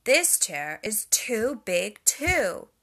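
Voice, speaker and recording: A woman voicing a fussy little girl in a storybook reading, loud and slow. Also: mid-range voice, big pitch swings, full character voice. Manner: loud and slowed down.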